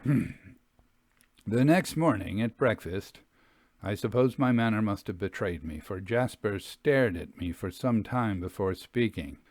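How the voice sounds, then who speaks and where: A man reading a literary story as an audiobook narrator, in a measured and deliberate way. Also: on the low side, lively intonation, a little mellow, no echo, studio quality.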